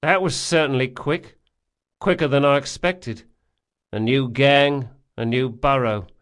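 A man's voice: medium pitch, measured pace, fairly bright tone, voice pushed up, lightly acted. A male narrator voicing a bitter, sulking, sardonic young rogue in an audiobook story. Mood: bitter sarcasm. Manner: sneering, anguished, outbursts.

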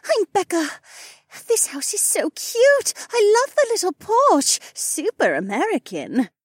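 A woman's voice: very high-pitched, unhurried, no room sound, clean studio sound, full character voice. A woman playing a bubbly, gushing young English wife with an English accent in an audiobook. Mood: squealing delight. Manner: excitable, squealing, gushing. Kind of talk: audiobook character acting.

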